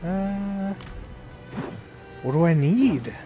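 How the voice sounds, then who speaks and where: A man's voice: high-pitched, lively intonation, extremely slow, dark tone, soft background music. A man chatting casually and playfully as he plays a co-op video game with friends. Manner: joking, playful. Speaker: co-op gamer. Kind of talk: casual gameplay chat.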